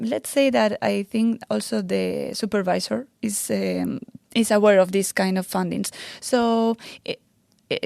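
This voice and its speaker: Spanish woman researcher, gravelly, hesitant. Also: mid-range voice, a little mellow, no echo. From Spain. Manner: hesitant, many fillers and restarts. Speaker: postdoctoral researcher. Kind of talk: interview answers.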